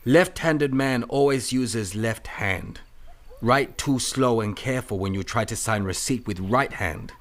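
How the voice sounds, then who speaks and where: An actor playing a calm, wise Chinese-American detective who talks in clipped broken English with formal, aphoristic phrasing. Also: male voice, mid-range voice, unhurried, natural voice. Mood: calm, stern. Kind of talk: old detective film radio dramatisation.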